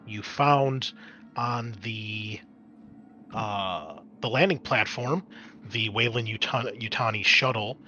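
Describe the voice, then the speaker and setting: A man's voice: fairly low pitch, takes it slowly, bright, forceful tone, highs cut off, soft background music. A man running a tabletop game as game master, narrating in a casual way with some hesitant phrasing.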